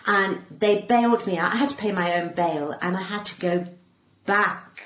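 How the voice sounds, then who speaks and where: A woman's voice: medium pitch, moderate pace, fairly bright tone. An older woman with a cut-glass English accent telling candid anecdotes as an interview guest, dramatically acting out what people said. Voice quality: cut-glass, well-spoken. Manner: dramatic, acting out quoted speech. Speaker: podcast guest, comedian and actor. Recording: roomy echo, faint background noise.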